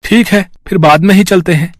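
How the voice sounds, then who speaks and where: A man playing an impatient, hardworking village farmer in an animated moral story, insistent and complaining. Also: high-pitched, brisk, no echo. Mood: urging.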